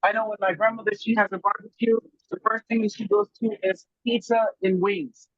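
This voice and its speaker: A man's voice: high voice, level tone, brisk, dry recording, muffled recording. A man who grew up in Germany, a guest food grower, talking earnestly in a casual podcast chat and searching for his words.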